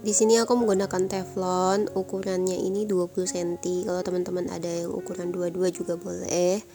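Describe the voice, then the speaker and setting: Low, even-voiced woman with a stuffy nose. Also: fairly quick. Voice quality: nasal, congested from a cold. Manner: informal, step-by-step explaining. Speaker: home cook presenting a recipe. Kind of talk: casual recipe tutorial voice-over. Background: background music.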